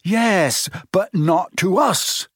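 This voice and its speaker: A man's voice: medium pitch, measured pace, no echo, studio quality, close to an everyday voice. Man acting a blunt, exasperated schoolboy. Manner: blunt, impatient.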